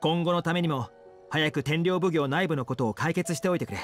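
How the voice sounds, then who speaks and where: A dependable young retainer, played by a man in an anime game. His voice is on the high side for a male, easygoing and polite, over faint music. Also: a little fast, close to an everyday voice. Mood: considerate. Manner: easygoing, polite, casual phrasing.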